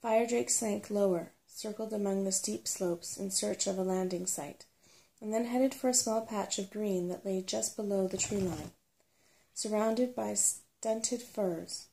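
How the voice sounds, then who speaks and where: A woman's voice: mid-range voice, creaky, warm tone, poor audio. A woman reading a children's fantasy novel aloud as ASMR storybook narration. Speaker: storybook reader.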